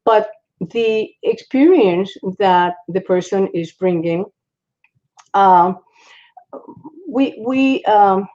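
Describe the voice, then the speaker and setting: A woman from the Republic, speaking as a psychologist guest in a panel discussion, halting, with many fillers and restarts. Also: medium pitch, mellow. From Dominican Republic. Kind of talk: panel discussion on a video call. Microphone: video call. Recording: dull lo-fi call audio.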